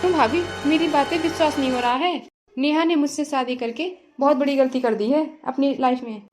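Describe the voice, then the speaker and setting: A woman's voice: sits high, brisk, soft background music, natural voice. A woman voicing a scheming young man who taunts his new wife and her family. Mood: taunting. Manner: smug, taunting.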